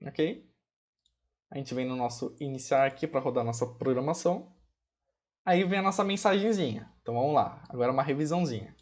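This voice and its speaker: A man with a Brazilian Portuguese accent teaching programming in a casual screencast. He checks in with his viewers often and slows down to spell out commands as he types them. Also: mid-range voice, animated. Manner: chatty, checks in often with "ok?" and "pessoal", fond of diminutives; spells out commands slowly while typing. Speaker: programming instructor. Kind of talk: casual screencast coding tutorial.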